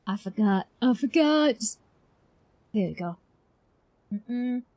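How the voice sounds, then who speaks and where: A woman's voice: a little high, lively intonation, slow, a little mellow, no room sound. A woman streaming a game, chatting casually and expressively with viewers in an informal, slangy way. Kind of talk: casual livestream reaction chat.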